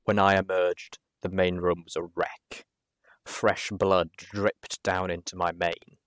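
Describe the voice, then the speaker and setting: A man reading a first-person adventure story aloud as narrator, in an expressive, dramatic manner. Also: sits low, slow, no echo.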